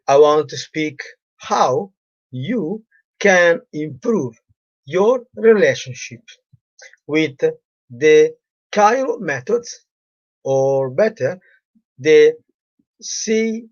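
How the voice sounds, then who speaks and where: Slow, hesitant man with a cold. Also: fairly high pitch, no echo, muffled recording. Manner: halting, many fillers, non-native grammar. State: has a cold and sore throat. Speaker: relationship coach. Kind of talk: live-streamed solo talk.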